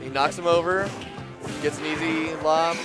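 A man's voice: a little high, steady tone, normal speed. A man doing casual live co-commentary on a video game match. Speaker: esports tournament co-commentator. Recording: music behind, live event stream. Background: game music.

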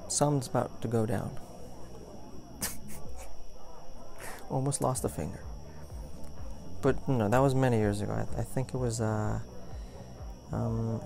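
A young man acting as a caring, goofy boyfriend in an intimate ASMR roleplay, talking in a rambling, halting way. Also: mid-range voice, vocal fry, mellow. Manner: rambling, halting, chuckling storyteller. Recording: music playing behind the voice.

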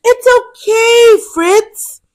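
A woman's voice: very high-pitched, very slow, pitched above the performer's own voice, exaggerated voice. A woman voicing a young girl hippo, an encouraging and reassuring big sister in a children's storybook. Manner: bright, encouraging.

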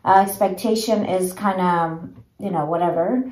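A woman in her thirties chatting casually through a home hair tutorial, with many fillers and a gentle, way of talking, filmed on a propped phone in a small bedroom. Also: fairly low pitch, fairly even intonation, moderate pace. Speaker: beauty vlogger. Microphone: phone camera propped low. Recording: echoey small room.

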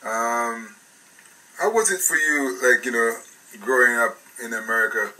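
A man's voice: mid-range voice, unhurried, bright, forceful tone, distant mic, poor audio. A man from England, hosting a radio interview in a rambling, casual way with many restarts. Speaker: radio show host.

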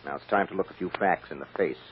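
An actor playing a firm, shrewd defense lawyer with a blunt, emphatic manner in an old-time radio drama. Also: male voice, low-pitched, fast, lightly acted. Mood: blunt, pressing. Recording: muffled, hissy old radio recording.

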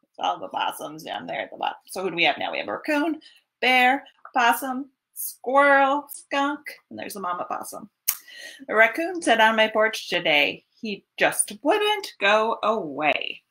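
A woman in her thirties hosting storytime, reading a picture book to young children in an expressive, teacherly way. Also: a little high. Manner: expressive, deliberate teacherly delivery. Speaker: children's library storytime host. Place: small home room, indoors.

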